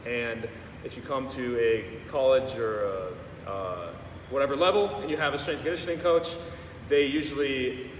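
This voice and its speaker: A man's voice: medium pitch, normal speed, few pauses, a little hiss or hum. A young man, a strength coach, talking loosely and joking in a casual interview. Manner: relaxed, joking, explains in long loose runs. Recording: echoey room, mic far off.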